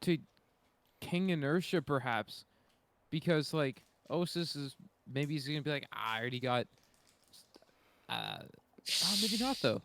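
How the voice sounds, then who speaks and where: Higher-pitched man, hesitant podcast co-host. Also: animated, a little slow, vocal fry, a bit bright, slight background noise. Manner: hesitant, thinking aloud. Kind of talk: casual chat.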